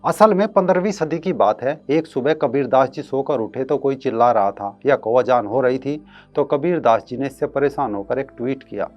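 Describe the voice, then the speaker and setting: A man's voice: mid-range voice, moderate pace, highs cut off, faint music behind. A man hosting an online commentary show, reading a scripted piece with emphatic, rhetorical delivery.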